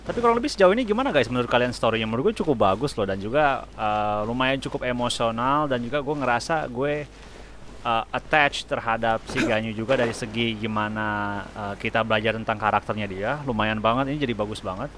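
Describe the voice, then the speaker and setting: A man's voice: medium pitch, fast talker, a bit bright, a little hiss or hum. Chatty Indonesian man commentating a game. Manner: chatty, slangy, playful. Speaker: gaming video host. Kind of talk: casual game playthrough commentary. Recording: game music behind the voice.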